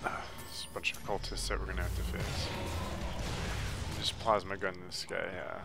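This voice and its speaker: A man's voice: low-pitched, flat delivery, normal speed, creaky, heavy background noise. A man giving a casual, matter-of-fact walkthrough commentary on a video game. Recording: game music behind the voice. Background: video game music.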